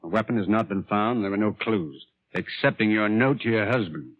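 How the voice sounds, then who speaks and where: An actor playing a blunt, accusing police detective in a 1940s radio drama. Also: male voice, lowish voice, a little fast, dry recording, lightly acted. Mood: stern, accusing. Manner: blunt, matter-of-fact. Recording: dry, tinny 1940s radio recording.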